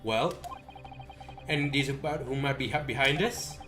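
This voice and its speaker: A man's voice: medium pitch, moderate pace, sharp tone, faint music behind. A man livestreaming a visual novel, reading its dialogue aloud in a theatrical way. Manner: theatrical, acting out characters while reading. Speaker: streamer.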